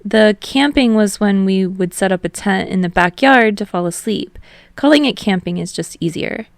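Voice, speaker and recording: A woman's voice: medium pitch, moderate pace, vocal fry, dry recording, studio quality, close to an everyday voice. A woman voicing a bubbly, eager young man, chatty and a little sheepish, as an audiobook character. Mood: warm, sheepish. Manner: energetic, hopeful, gushing. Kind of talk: fan fiction audiobook character voice.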